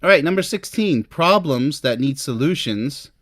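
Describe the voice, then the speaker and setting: A man's voice: a little high, animated, normal speed, a bit bright, no echo, clean studio sound. A man giving informal relationship advice as a host, chatty and emphatic, speaking straight to the listener. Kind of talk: informal advice talk to camera, ranking topics.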